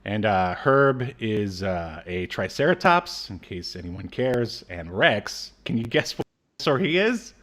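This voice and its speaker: A man's voice: fairly low pitch, big pitch swings, measured pace, a bit bright. A man in his thirties co-hosting a casual media review podcast, rambling hesitantly with dry asides. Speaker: podcast co-host. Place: small room at home. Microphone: video call setup.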